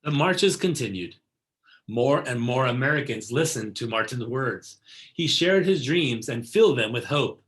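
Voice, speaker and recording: A man narrating a children's picture book in a deliberate storytelling delivery, stressing key words. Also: mid-range voice, lively intonation, fairly bright tone. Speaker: read-aloud narrator. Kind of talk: scripted picture-book read-aloud for children.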